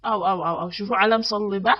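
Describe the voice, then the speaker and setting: A woman playing an exasperated, sharp-tongued Yemeni hostess in a comic cartoon, exclaiming and giving orders in an Adeni accent. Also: medium pitch, fairly even intonation, rapid-fire, dull audio, noisy background, close to an everyday voice. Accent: Adeni Yemeni Arabic. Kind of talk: comic cartoon skit dialogue.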